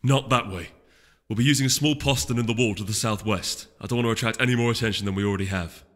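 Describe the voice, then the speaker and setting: Casting: man as irritable storybook Pegasus warrior, curt commands, forceful delivery, hall reverb. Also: fairly low pitch, fast talker, close to an everyday voice. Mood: grudging, terse. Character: gruff, irritable big male Pegasus soldier. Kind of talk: audiobook character voice. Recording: echoey hall reverb, music behind.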